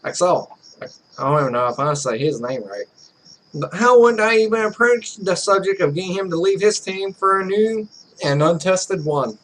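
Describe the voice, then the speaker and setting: High-voiced man reading a game story aloud. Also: moderate pace, fairly bright tone, poor audio. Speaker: gaming video host. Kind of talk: reading a visual novel aloud with asides.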